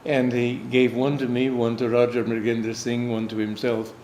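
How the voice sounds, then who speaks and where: A man's voice: medium pitch, flat delivery, moderate pace, a little mellow, slight background noise. An American man, a swami and spiritual teacher, recounting a personal anecdote in a meandering storytelling way. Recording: echoey room, faint ambience.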